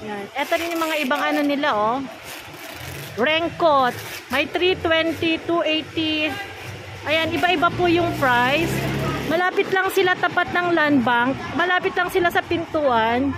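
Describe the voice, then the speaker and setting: A woman's voice: high-pitched, a little slow. A Filipino-accented woman doing a casual shopping vlog, asking a vendor questions and repeating prices for viewers. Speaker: vlogger interviewing a market vendor. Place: indoor shopping mall stall. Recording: noisy busy market stall.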